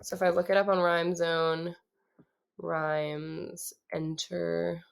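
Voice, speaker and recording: A young woman with a low voice, talking through her songwriting process in a relaxed, casual way with many pauses. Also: vocal fry, a little hiss or hum. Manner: relaxed, thinking aloud with many ums.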